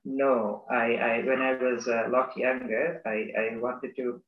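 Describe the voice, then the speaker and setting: A man from Bangladesh, an academic, answering interview questions in a thoughtful, halting way with many restarts. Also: medium pitch, little pitch movement, roomy sound, phone-quality sound. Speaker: business school academic. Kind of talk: conversational interview.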